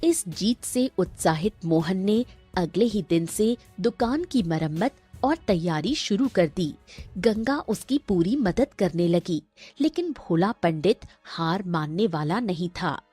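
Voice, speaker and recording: Woman storyteller, slow pace, husky edge, scripted children's tale narration. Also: lowish voice, expressive, dark tone, soft background music.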